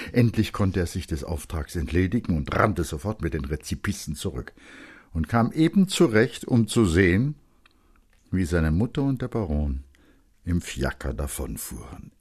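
Male narrator for literary drama: deep, highly animated delivery, intense, with dramatic pauses. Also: breathy edge. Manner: expressive, intense, with dramatic pauses and hushed phrase endings.

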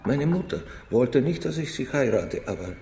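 Radio play role: fussy, naive logician male actor, soft-edged mid-range voice, formal, pedantic delivery, echoing room. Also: medium pitch, very animated, moderate pace, a little hiss or hum, close to an everyday voice. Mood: earnest. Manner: formal, literal-minded, polite. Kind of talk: radio drama acting.

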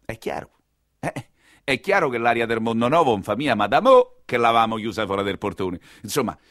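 An actor playing a wry, earthy nineteenth-century coachman who tells his story in colloquial Roman, in a grumbling, expressive stage monologue. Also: mid-range voice, fairly quick, no room sound, studio quality. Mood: fond, rueful.

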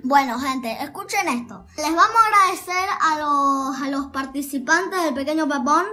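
A high-voiced woman closes the program in a loose, playful way, thanking people and inviting messages. Clear music plays under her. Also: moderate pace, pushed and bright. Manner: playful, laughing, talking over co-hosts. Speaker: program host. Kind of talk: casual sign-off.

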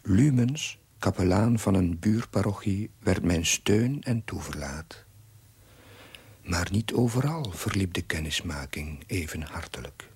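An actor playing an earnest village chaplain, narrating his diary in a measured, confessional way with a husky, breathy voice. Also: deep voice, expressive, darkish tone, dry recording, exaggerated voice. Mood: reflective. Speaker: narrating main character. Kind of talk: scripted diary narration in a TV period drama.

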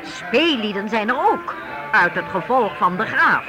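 An expressive, reflective narrator telling a scripted historical radio drama with emphatic phrasing. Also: mid-range voice, measured pace, over loud music.

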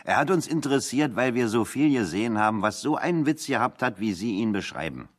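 An actor playing a plain-spoken, unschooled working-class political exile in a radio play, with a folksy, drily humorous manner. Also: male voice, mid-range voice, lively intonation, brisk, natural voice. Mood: folksy, wry.

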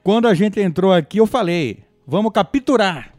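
A man's voice: high voice, expressive, moderate pace, a little mellow, faint music behind. A man playing a bossy, foul-mouthed talking dog, a crime-fighting sidekick who scolds in exasperation, in an audio drama. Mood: exasperated, scolding.

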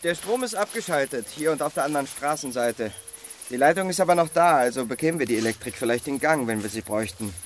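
An actor playing a wry, matter-of-fact armed robber planning a heist in a German radio crime drama. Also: male voice, medium pitch, animated, quick pace, background noise, close to an everyday voice.

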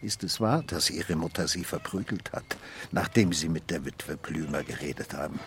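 Insistent, condescending police inspector. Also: male voice, a bass voice, moderate pace, a little hiss or hum. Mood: probing, condescending. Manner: probing, insistent, swinging between quiet and loud. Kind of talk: radio drama acting.

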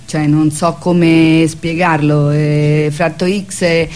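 A woman's voice: low voice, flat delivery, takes it slowly, a little mellow, slight background noise. A woman, an artist guest chatting informally and explaining things in a loose, hesitant way. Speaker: visual artist, radio guest. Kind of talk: casual chat.

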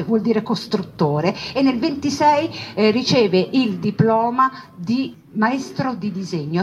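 A dull, slightly lo-fi recording with noise behind the voice carries a woman storyteller at a middling pitch. She narrates as if on stage, emphatic yet conversational, repeating phrases for weight and slipping in asides to her listeners. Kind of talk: theatrical monologue storytelling to an audience.

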